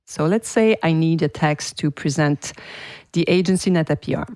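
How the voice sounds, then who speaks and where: A woman's voice: sits low, normal speed, a little mellow, no room sound. A woman with a French accent hosting her own podcast, talking to listeners in an enthusiastic, chatty way. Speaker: podcast host and PR teacher. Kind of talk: casual solo podcast talk.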